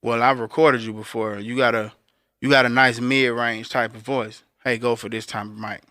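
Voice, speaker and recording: A man with a bright, forceful voice and a clear, resonant tone talks casually as a podcast co-host. He explains things like a working engineer, straight to the listener, in a close, dry recording. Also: steady tone, moderate pace, no room sound. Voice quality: deep voice. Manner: conversational explainer, addressing listeners directly. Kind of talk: casual instructional talk.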